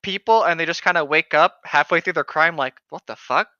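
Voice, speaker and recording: A man's voice: fairly high pitch, fairly quick, sharp tone, dry recording, lo-fi sound. A man co-hosting a podcast, joking casually with slangy, profane, mocking commentary on a book. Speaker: podcast co-host.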